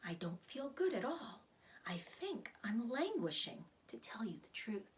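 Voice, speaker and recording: A woman voicing a weary, gentle, aging female spider from a children's storybook, speaking in a weak, soft half-whisper with quiet pride. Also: fairly high pitch, very animated, slight background noise. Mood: sad, tired. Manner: measured, subdued. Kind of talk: children's storybook read-aloud.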